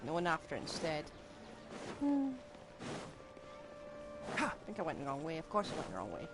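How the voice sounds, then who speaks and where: A woman's voice: low-pitched, huge pitch swings, moderate pace, background noise. A woman doing casual, rambling live commentary as she plays a video game. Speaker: game streamer. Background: game music.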